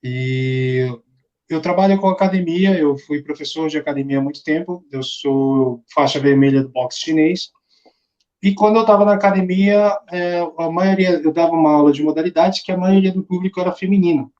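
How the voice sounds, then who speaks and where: A man's voice: fairly high pitch, steady tone, moderate pace, darkish tone, highs cut off. A man from Chile, a martial arts instructor guest, talking easily with some hesitant restarts. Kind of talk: casual interview talk.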